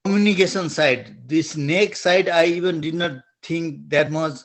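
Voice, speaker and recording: Man, Nepali accent, slow and halting, searches for words, fairly high voice. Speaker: webinar attendee asking a question. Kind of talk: question in an online webinar.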